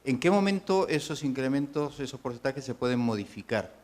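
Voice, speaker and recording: A man from Spain and Chile, a real estate broker, asking a deliberate question from the audience. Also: mid-range voice, animated, darkish tone.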